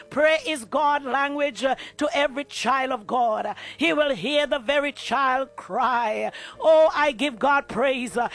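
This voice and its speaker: A man's voice: very high-pitched, a bit bright. A male preacher delivering a passionate sermon and prayer, punctuating his phrases with frequent "uh" fillers.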